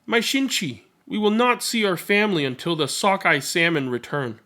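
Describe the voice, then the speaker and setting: A man voicing a young, protective big sister in a storybook, earnest and gently instructing. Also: high-pitched, very animated, moderate pace, exaggerated voice. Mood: earnest, tender.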